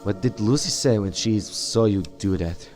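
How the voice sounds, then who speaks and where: Low-pitched male gamer roleplaying over music. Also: lively intonation, normal speed, darkish tone. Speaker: gamer voicing his player character. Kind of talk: improvised in-character roleplay of a video game. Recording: game music behind the voice.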